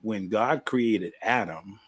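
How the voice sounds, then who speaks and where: A man's voice: lowish voice, slow pace, breathy edge. A middle-aged man hosting a podcast, casually interviewing a guest, affirming him and chuckling along. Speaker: podcast host.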